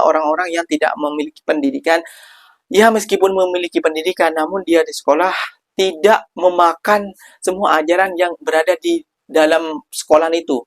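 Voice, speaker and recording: A man's voice: on the high side, dry recording. A man hosting a reaction video, chatting casually with viewers. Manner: chatty, repeatedly addresses viewers as "geng". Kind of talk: casual commentary.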